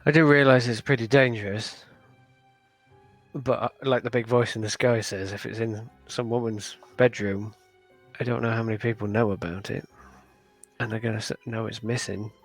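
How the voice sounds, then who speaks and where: A man's voice: fairly low pitch, steady tone, normal speed. A man playing a tabletop game, thinking aloud with hesitant fillers. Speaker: player. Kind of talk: casual table talk. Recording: faint music behind.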